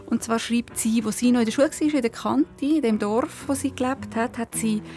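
A woman's voice: fairly high pitch, moderate pace, a little mellow. A woman with a Swiss German accent, co-hosting a podcast and casually retelling a listener's story. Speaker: podcast co-host.

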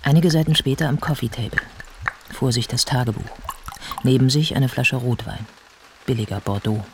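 An actor playing the observant narrator of a literary radio play, setting scenes in a measured, descriptive way. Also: male voice, medium pitch, background noise, close to an everyday voice. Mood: observing.

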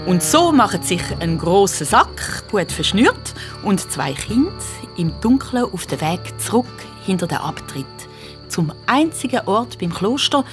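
A woman narrating a scripted children's audio story. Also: mid-range voice.

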